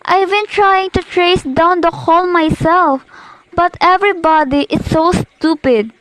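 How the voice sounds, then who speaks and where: A woman's voice: very high-pitched, fairly even intonation, unhurried, fairly bright tone, no echo, poor audio. A young woman playing an imperious, nervous married lady in an amateur radio play, demanding and impatient. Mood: agitated, pleading. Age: teenager to young adult. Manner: demanding, agitated, impatient, haughty. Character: imperious, nervous, indignant married woman reporting an overheard murder plot.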